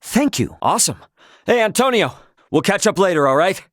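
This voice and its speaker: A man's voice: high voice, very animated, normal speed, no echo, studio quality. A male actor playing a young, brash, easygoing but stubborn spaceship captain in a video game, with a casual, slangy, wry manner. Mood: breezy, casual. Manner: casual slangy, wry, loosely drawled asides. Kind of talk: English video game voice acting.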